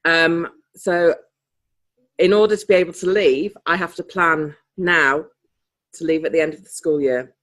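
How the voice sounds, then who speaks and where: A woman's voice: low voice, fairly even intonation, measured pace, fairly bright tone. A woman chatting casually on a group video call. She laughs often and sometimes loses her thread. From Britain. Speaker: participant in a coaching group call.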